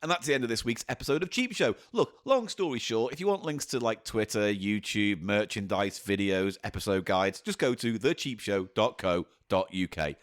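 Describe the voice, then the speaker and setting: Man, podcast co-host, jokey banter, lively swinging pitch. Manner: jokey, silly put-on voices, quick wordplay. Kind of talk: bawdy comedy podcast banter.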